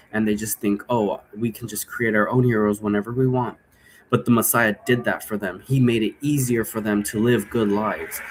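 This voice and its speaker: Low-voiced man rambling casually over noise. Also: rough recording. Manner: informal, digressive, thinking aloud. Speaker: game creator explaining role-play rules. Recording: noticeable background noise.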